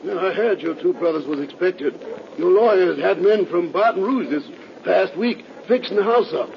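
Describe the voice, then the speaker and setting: A fearful country driver from the American South, with a very high, gravelly voice. He speaks in folksy, ominous warnings, as in a 1930s radio drama, on a dull old recording. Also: moderate pace, slight background noise. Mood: wary. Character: fearful rural Louisiana driver warning strangers of ghosts.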